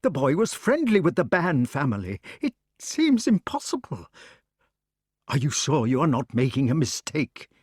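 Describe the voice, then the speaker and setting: A man's voice: fairly high pitch, wildly swooping pitch, moderate pace, a little mellow, husky, dry recording, studio quality, pitched above the performer's own voice, heavily acted. A man voicing an older king, earnest and kindly, with a worried edge. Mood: shaken.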